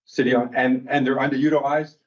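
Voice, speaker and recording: A man's voice: mid-range voice, little pitch movement, fairly quick, dull audio. A man making a wry, tentative comment at a city meeting. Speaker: resident speaking at public meeting. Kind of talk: informal public comment.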